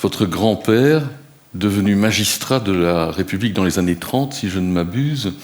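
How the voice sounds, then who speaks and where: A man with a very low voice leads a public panel discussion. He frames his questions formally and at length, pausing often to hesitate. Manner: measured, formal, many hesitations. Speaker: moderator.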